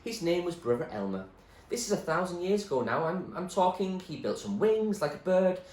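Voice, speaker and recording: A man's voice: high voice, sing-song, normal speed, darkish tone, pitched above the performer's own voice. A man reading aloud who voices an odd old man who rambles and speaks in riddles, in an echoey room. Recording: echoey room, faint noise.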